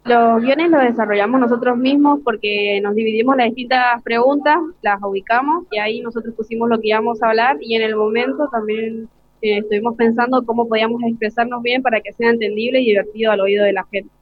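A woman's voice: fairly high pitch, fairly even intonation, fairly quick. A young Argentine woman, a student, giving informal explanatory answers in an interview. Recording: dry, slightly dull lo-fi broadcast.

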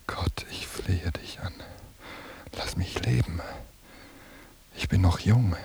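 A man's voice: fairly low pitch, vocal fry, mellow, breathy edge, full character voice. An actor playing a fearful young man caught in a nightmarish vision, narrating his inner thoughts in a haunted, intense radio monologue. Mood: pleading, haunted. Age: young adult. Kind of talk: literary radio drama monologue.

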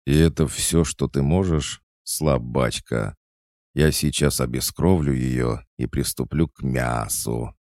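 A man's voice: very deep voice, slow, darkish tone, no room sound, studio quality, exaggerated voice. A man voicing a mocking, bloodthirsty male demon in an audiobook, taunting and gloating. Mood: menacing.

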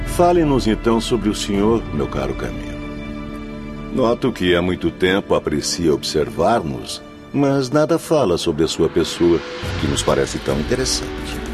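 Radio drama: urbane challenger, very deep voice, lively inflection, teasing formality, music underneath. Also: male voice, normal speed. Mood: teasing, challenging. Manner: ceremonious, teasing, theatrical. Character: urbane gentleman, politely provoking a proud companion.

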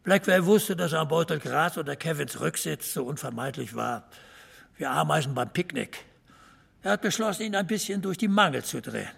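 A knowing small-town man in a radio play. His voice is husky and full of creak, pitched a little high for a man. He tells tales drily, with emphasis. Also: animated, moderate pace, fairly bright tone, heavily acted. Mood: wry, knowing. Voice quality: gravelly, husky. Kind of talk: German audio drama dialogue.

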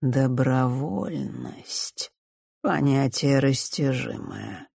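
A woman acts out a cold male circus director from a storybook. He speaks slowly and drawls, weighing threats as if thinking aloud, with a growl underneath. The sound is close and dry. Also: very deep voice, expressive, vocal fry, darkish tone, breathy edge, dry recording, full character voice. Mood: quietly menacing, musing. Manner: slow, drawling, growling when angered.

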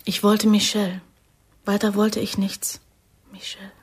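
A woman's voice: medium pitch, flat delivery, measured pace, husky. An actress playing a vulnerable young woman working as a reluctant undercover agent in a radio drama. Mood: stubborn, hurt.

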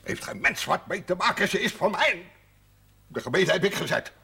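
Creaky, stubborn old widower. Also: male voice, high voice, normal speed, pushed and bright, heavily acted. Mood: defiant, possessive. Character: stubborn, possessive, grieving old rustic widower. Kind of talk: 1970s television drama acting. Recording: faint noise on old TV audio.

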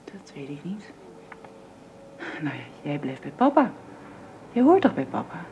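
An actress playing a gentle, anxious young housekeeper and nanny in a radio drama, speaking hesitantly. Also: female voice, sits low, big pitch swings, a little slow, heavily acted. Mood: sad, gentle. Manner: hesitant, deferential to employer. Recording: dull, noisy old radio recording.

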